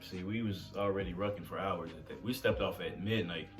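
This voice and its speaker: A young man recalling army training on a vlog, laid-back and profane. His voice is very low and relaxed. Faint music plays in the background. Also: brisk. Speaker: vlog co-host, army veteran. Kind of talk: casual conversational chat.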